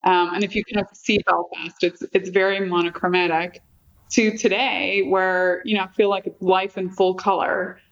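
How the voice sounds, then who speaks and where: Female guest, middle-aged, softened Northern Irish accent, conversational interview delivery, medium pitch, slightly muffled recording. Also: little pitch movement, moderate pace. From Northern Ireland. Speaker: podcast guest, company chief executive.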